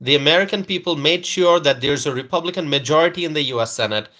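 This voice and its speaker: A man's voice: on the high side, quick pace, pushed and bright. A man from Bangladesh, hosting a political commentary live stream, repeating his points and tagging them with "okay?", greeting viewers by name. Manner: repetitive, keeps adding "okay?" tag questions; hesitant fillers and restarts, greets viewers by name.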